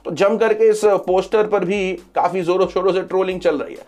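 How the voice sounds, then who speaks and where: Male news commentator, pitched slightly high, explanatory update style with emphatic repetition. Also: steady tone, normal speed, a little mellow. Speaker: social media news commentator. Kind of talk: news commentary sharing updates to camera or over screen.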